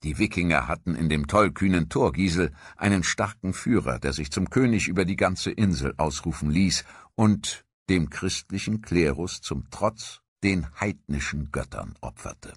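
A man's voice: deep voice, animated, normal speed, hardly any pauses, breathy edge, no echo, clean studio sound. A man reading scripted historical documentary narration.

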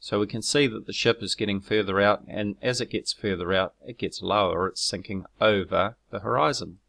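New man, very low gravelly voice, explanatory voice-over with ums, argumentative edge. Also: a bit bright. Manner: measured and explanatory, with frequent ums and self-corrections; argumentative when rebutting critics. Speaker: video creator explaining his own footage. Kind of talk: narrated screen walkthrough.

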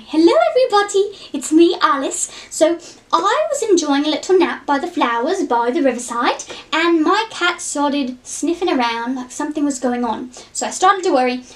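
A woman doing an impression of a young British cartoon girl, dreamy and bewildered as she tells her story. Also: high-pitched.

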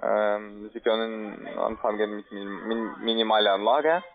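A man with a foreign accent making a telephone sales pitch in halting, broken German with frequent repetitions, over a narrow phone line. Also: steady tone. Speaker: telephone sales caller pitching trading investments.

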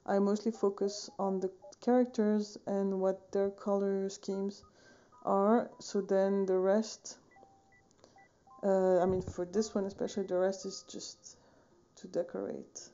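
A woman in her thirties from Switzerland, chatting casually about her painting in a relaxed, rambling way, with many fillers and small self-corrections. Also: mid-range voice, takes it slowly, dull audio. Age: 30s. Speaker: painting vlogger. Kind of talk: casual tutorial chat. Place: home studio room, indoors, wooden shelves. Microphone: phone camera at desk distance.

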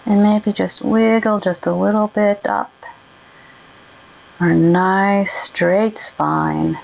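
A woman leading a guided meditation in a gentle, soothing, unhurried way with long pauses. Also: medium pitch, steady tone, creaky, lo-fi sound.

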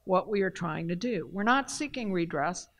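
A woman's voice: fairly low pitch, expressive, normal speed. An older woman from Canada, speaking on a panel in a large hall into a table mic, telling her story earnestly and with emphasis. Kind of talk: panel discussion talk. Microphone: table mic on a stand.

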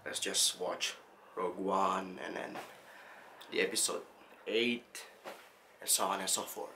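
A young adult man talking casually to camera as a tutorial host, in an animated, jokey, excitable manner. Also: sits low, a little slow, a little hiss or hum. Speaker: host of video-effects tutorials. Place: small indoor studio room.

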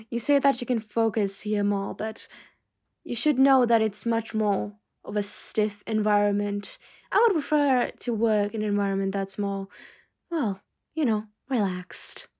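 Slow-talking, composed woman boss played by a woman. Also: mid-range voice, a little mellow, natural voice. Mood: mildly scolding. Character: secret mafia boss woman, stern but softening, condescending. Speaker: ASMR roleplay performer. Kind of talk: slow, intimate ASMR roleplay.

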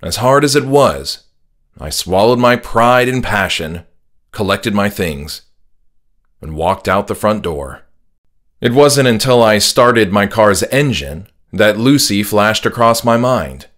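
A man's voice: lowish voice, lively intonation, takes it slowly, fairly bright tone. A man reading his own horror story as scripted first-person audiobook narration. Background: faint music.

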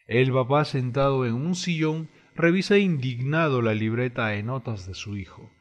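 A man's voice: mid-range voice, moderate pace, muffled recording. A man giving scripted narration for an educational radio drama.

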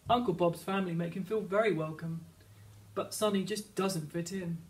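Guy reading a kids story, quite high voice, bit far from the mic. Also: steady tone, moderate pace. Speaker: teacher reading a picture book aloud. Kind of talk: children's story narration. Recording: slightly distant microphone.

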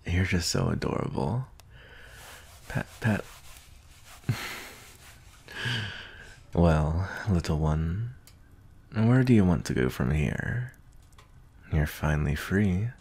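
Man acting out a very deep, gravelly werewolf bounty hunter. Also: expressive, exaggerated voice. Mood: tender, bashful. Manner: slow, gentle, soft-spoken, hesitant pauses. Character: gruff but tender male werewolf bounty hunter. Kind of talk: ASMR roleplay.

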